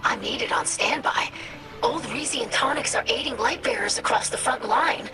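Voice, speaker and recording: An actress playing a young, earnest, anxious alien scientist in a video game, speaking with gentle, formal precision. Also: female voice, fairly high pitch, normal speed, music in the background, natural voice. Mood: earnest, focused. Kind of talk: dramatic video game acting.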